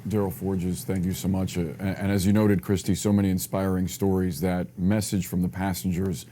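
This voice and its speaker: Male anchor, notably deep relaxed voice, broadcast delivery over faint background noise. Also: fairly quick. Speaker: news anchor.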